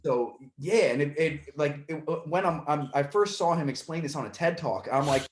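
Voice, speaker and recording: A man's voice: a little high, lively intonation, moderate pace, echoey room, a little hiss or hum. A man hosting a podcast, talking casually in long rambling stretches with many restarts, and getting worked up when he retells a story. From Puerto Rican family side. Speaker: podcast host.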